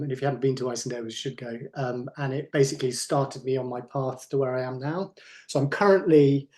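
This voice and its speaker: A man introducing himself as an artist manager on a panel, talking haltingly with many fillers and trailing off quietly, over a video call. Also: medium pitch.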